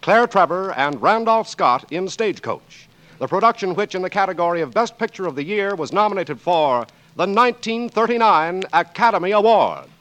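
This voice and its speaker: High, sweeping vintage American male announcer. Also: expressive, normal speed, fairly bright tone, poor audio. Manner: formal, declamatory. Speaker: radio announcer. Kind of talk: 1940s radio announcing, scripted. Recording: old 1940s radio recording. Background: music.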